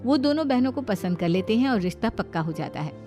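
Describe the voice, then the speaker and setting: A woman's voice: medium pitch, very animated, fast, warm tone, faint music behind. A woman reading scripted narration for a cartoon family drama story.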